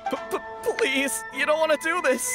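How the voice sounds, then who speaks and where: An actor voicing a flustered, stammering young gang underling in a video game, excitable and cowardly. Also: male voice, very high-pitched, moderate pace, music in the background. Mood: panicked pleading. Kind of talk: English video game dub acting.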